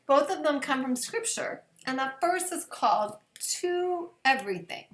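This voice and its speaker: A woman pastor reading scripture aloud as a children's bedtime story, in measured, deliberate phrases with long pauses. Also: high voice, expressive, fairly bright tone. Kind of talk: gentle read-aloud of scripture picture books. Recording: echoey room.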